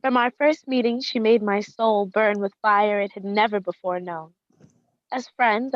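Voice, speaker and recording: A woman's voice: mid-range voice, no room sound, highs cut off, natural voice. A woman playing an adoring, wistful wife in a radio drama, speaking reverently and poetically of her beloved.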